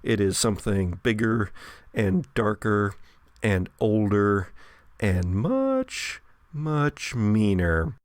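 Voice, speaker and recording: A man's voice: on the low side, sing-song, slow, dry recording. A man running a tabletop horror game as game master, narrating scenes and guiding players.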